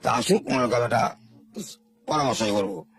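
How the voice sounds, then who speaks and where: An older man from Bangladesh, a guest telling his life story in a rambling, halting, repetitive way. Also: mid-range voice, huge pitch swings, gravelly. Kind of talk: informal spoken life story.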